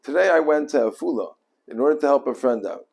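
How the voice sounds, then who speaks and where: An older man telling a personal religious story in an earnest, devout way with deliberate phrasing. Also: fairly high pitch, expressive, creaky, darkish tone.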